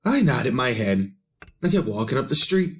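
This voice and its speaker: An actor playing an obsessed, bewildered man in a retro radio drama, with halting, melodramatic delivery. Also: medium pitch, very animated, natural voice. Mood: haunted, uneasy. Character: obsessed, bewildered man craving knowledge of the future.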